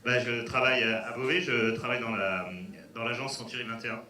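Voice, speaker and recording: A man from Belgium, playing a shy audience member in a comedy bit, talking haltingly with many restarts. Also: medium pitch, steady tone, pushed and bright, slight background noise. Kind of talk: improvised comedy sketch with a host. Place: cinema theater in front of an audience. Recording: echoey cinema hall, distant mic, crowd.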